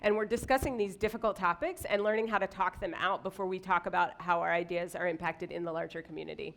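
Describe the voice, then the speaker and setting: A woman's voice: on the low side, steady tone, fast talker, creaky, a bit bright. A woman co-presenting a live slideshow talk to an audience.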